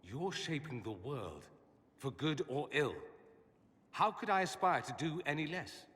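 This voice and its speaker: A bright, forward male voice as a theatrical mage in a fantasy game. He is wry and polished, an idealist who longs for his homeland. The voice rings with hall-like reverb. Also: a little high, expressive, a little slow. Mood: teasing. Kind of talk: dramatic game dialogue.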